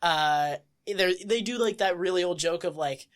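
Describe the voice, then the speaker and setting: A woman co-hosting a casual podcast, wry and quick to swear, often acting out little scenes while recapping. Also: on the low side. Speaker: podcast co-host. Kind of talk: casual chat.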